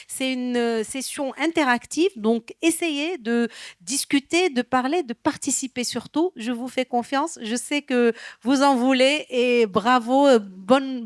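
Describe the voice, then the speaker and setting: A woman presiding over the event gives an encouraging welcome speech, pausing often with hesitations. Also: a little high, dry recording, studio quality. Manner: encouraging, many "euh" pauses.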